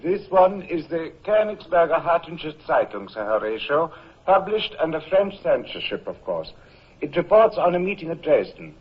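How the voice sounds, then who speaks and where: A dutiful Finnish clerk aboard a warship, formal and measured. The voice is fairly high and husky, on a muffled old radio drama recording. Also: male voice, very animated. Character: dutiful Finnish naval clerk translating newspapers. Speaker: actor in a radio serial.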